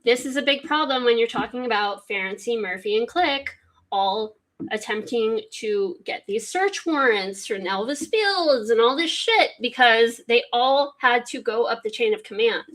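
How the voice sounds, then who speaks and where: Bright high-voiced woman host. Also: animated, moderate pace. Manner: chatty, reads aloud then comments with swearing asides. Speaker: podcast host. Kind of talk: casual true-crime commentary, reading documents aloud.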